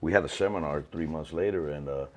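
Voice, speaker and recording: Guy in his fifties, real low voice, rambles and restarts a lot, chatty interview. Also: quick pace. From Cuba. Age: 50s. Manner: animated, rambling, many restarts. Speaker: cigar company owner. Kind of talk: casual storytelling interview.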